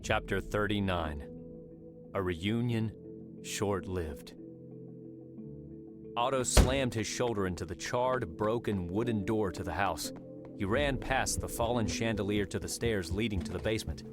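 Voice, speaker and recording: Low-voiced male audiobook narrator. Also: a little slow. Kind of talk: scripted narration. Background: music.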